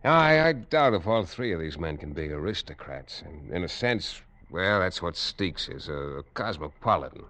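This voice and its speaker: Radio drama detective: deep voice, cool and probing under an easygoing surface. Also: male voice, big pitch swings, normal speed, hardly any pauses, fairly bright tone, heavily acted. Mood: matter-of-fact. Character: shrewd, cool detective hunting a murderer, playing casual. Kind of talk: 1940s-50s radio drama acting.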